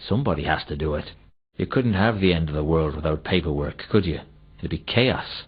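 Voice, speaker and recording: A man's voice: extremely low pitch, brisk, slightly rough. An actor playing a shifty, dim, cowardly city watchman in a comic video game, hesitant and evasive, forever making excuses. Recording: dull, muffled old console game audio.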